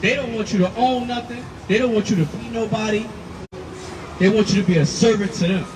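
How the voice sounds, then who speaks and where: A man preaching outdoors, forceful and emphatic, repeating his points. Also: high voice, fairly quick. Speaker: street preacher. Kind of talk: street preaching. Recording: distant mic with loud street noise.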